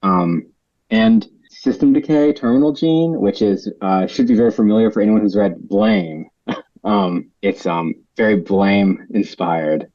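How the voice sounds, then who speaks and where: A man with a lowish voice speaks haltingly on an online writers' panel. His audio is dry and dull, like a lo-fi call. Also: dry recording. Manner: halting, many fillers. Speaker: panelist, author. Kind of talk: casual panel chat.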